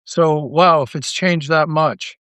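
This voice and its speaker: A man's voice: a little high, slow pace, fairly bright tone, smooth, dry recording. A man speaking casually as a podcast guest and creator, self-deprecating and often repeating his words. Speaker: interview guest, audio drama creator.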